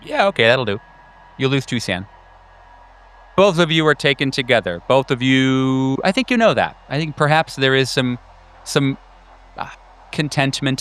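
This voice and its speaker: A man hosting a tabletop horror game, improvising narration and table chat in a halting, searching way with repeated words. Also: mid-range voice, fairly bright tone, clear, resonant tone. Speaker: game master and host. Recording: faint music behind the voice. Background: faint music.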